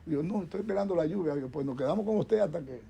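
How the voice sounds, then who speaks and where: A man's voice: on the high side, expressive, very fast, creaky, dark tone, breathy edge. A middle-aged man with a Dominican accent, a doctor speaking as a guest on a talk show panel, rambling with many restarts and repeated words. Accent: Dominican Spanish. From Dominican Republic.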